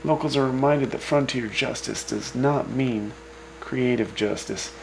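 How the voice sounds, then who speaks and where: Gravelly deadpan radio host, man. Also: mid-range voice, unhurried, slight background noise, low-quality recording. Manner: dry, deadpan delivery with wry asides. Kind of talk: scripted radio news broadcast.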